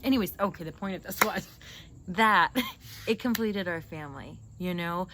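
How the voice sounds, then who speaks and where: A young woman chatting casually and telling stories in an animated, teasing, joking way. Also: lowish voice, moderate pace. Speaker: host interviewing a sibling. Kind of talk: casual chat and storytelling. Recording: noisy, with clear background noise.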